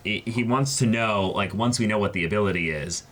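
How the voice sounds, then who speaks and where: A man co-hosting a casual video, talking with excitable, exclamatory enthusiasm and often repeating his words. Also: fairly low pitch, brisk. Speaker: co-host of an unboxing video. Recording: noisy room with clicks and handling sounds.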